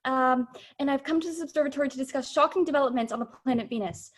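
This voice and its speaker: A woman's voice: sits high, quick pace, close to an everyday voice. A young woman playing a flustered, polite radio news reporter in a spoof radio play, hesitant and stumbling. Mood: flustered, formal. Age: teens to young adult. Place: bedroom, video call.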